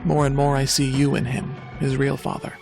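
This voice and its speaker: Gravelly, steely older mother. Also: rumbling low, monotone, normal speed, over music. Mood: cold threat. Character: steely, vengeful older mother, coolly threatening. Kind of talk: animated superhero drama acting.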